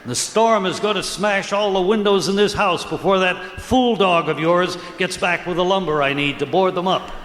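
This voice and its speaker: An echoey old radio comedy recording with clear noise. A man acts out a cranky, impatient old grandpa, grumbling in folksy exclamations in a raised pitch. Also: normal speed, hardly any pauses, a bit bright. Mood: grumpy, impatient.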